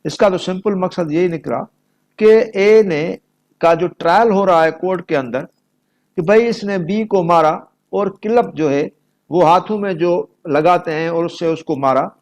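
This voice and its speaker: Pakistani guy teaching a class, kinda high voice, really slow, repeats key phrases for emphasis. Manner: didactic, emphatic, switching between Urdu and English, repeating key phrases. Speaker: law lecturer. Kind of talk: explanatory lecture, reading illustrations aloud and explaining them.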